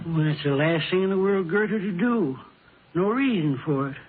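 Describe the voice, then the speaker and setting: An actor playing a grieving, bewildered widower past sixty-five, polite and halting, in a vintage radio drama. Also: male voice, high voice, lively intonation, husky. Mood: earnest. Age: over sixty-five. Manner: halting, polite, plain folksy speech. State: shaken with grief. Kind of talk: 1950s radio drama acting. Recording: tinny, noisy vintage radio recording.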